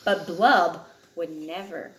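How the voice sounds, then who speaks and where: A woman's voice: fairly low pitch, takes it slowly, a bit bright, echoey room, poor audio. A woman reading a picture book aloud expressively, as a storyteller to a child, with dramatic emphasis on key words.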